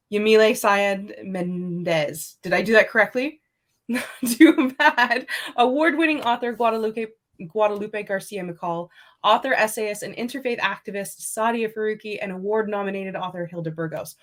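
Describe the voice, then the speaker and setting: A woman hosting an author panel, introducing guests in an enthusiastic, playful way. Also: medium pitch. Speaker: host. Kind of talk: event host introduction.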